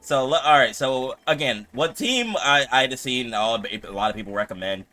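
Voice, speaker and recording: A man's voice: medium pitch, animated, normal speed, pushed and bright. A man giving casual game commentary as a streamer, slangy, sweary and repeating himself as he reacts.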